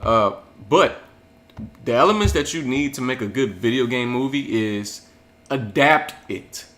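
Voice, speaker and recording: A young man co-hosts a relaxed group discussion, earnest and filling his pauses with ums. His pitch is ordinary for a man. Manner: earnest, full of fillers like um. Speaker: co-host. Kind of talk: casual group chat.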